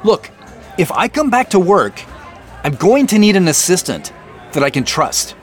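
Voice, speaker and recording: Earnest, animated, higher-voiced boss. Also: male voice, moderate pace, soft background music, a faint crowd. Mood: concerned, pleading. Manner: conversational, insistent, questioning. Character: earnest, probing male boss confronting his assistant. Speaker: actor in an audio drama. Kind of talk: naturalistic audio drama dialogue.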